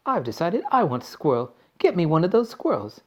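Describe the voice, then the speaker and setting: A man voicing a spoiled rich little girl who whines and shouts her demands. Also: sits high, sing-song, normal speed, voice pushed up, exaggerated voice. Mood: demanding.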